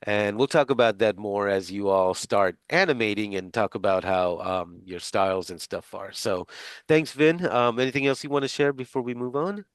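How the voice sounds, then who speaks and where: Low-voiced rambling male instructor. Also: moderate pace, smooth, no echo. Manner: rambling, many fillers and restarts. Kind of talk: casual class introductions. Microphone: video call.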